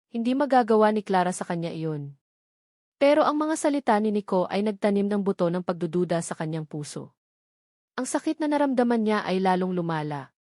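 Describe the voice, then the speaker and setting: A woman's voice: medium pitch, a little mellow, dry recording. Woman narrating a dramatic story, dry sound.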